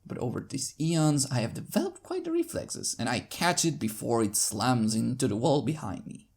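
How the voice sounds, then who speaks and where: A woman's voice: very deep voice, big pitch swings, moderate pace, a little mellow, slightly rough. A woman narrating a story in the first person, reading expressively. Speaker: fan fiction narrator.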